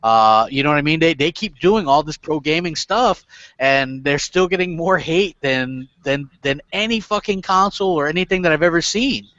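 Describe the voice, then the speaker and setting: A man's voice: on the high side, normal speed, fairly bright tone, no echo, highs cut off. A man hosting a casual gaming podcast, rambling with lots of fillers and restarts and casual swearing. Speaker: podcast host.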